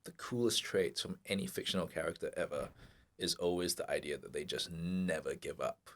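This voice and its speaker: A young man co-hosting a casual chat podcast, speaking in a soft, thoughtful way that often trails off. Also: low voice, normal speed. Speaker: podcast co-host.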